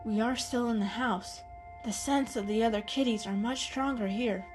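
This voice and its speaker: A woman's voice: fairly high pitch, normal speed, faint music behind, close to an everyday voice. A woman voicing a guarded, protective female cat in a dramatic storybook reading. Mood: uneasy.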